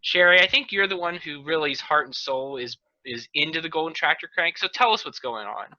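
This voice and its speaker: Bright, sharp-voiced man hosting a casual chat. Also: fairly high pitch, animated, fairly quick. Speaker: podcast host. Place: remote video call. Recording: dull, slightly lo-fi video call audio.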